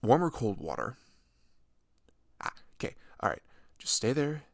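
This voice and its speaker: A man playing a shy, sweet, flustered best friend in an intimate ASMR roleplay, hesitant, stammering and soft-spoken. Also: mid-range voice, very animated, a little slow, vocal fry, dry recording, heavily acted. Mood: concerned, eager. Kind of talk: ASMR roleplay, intimate one-sided dialogue.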